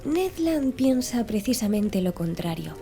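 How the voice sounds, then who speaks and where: A woman's voice: medium pitch, big pitch swings, measured pace, warm tone, faint music behind. A woman voicing a polite, deferential young manservant in formal, courteous audiobook dialogue.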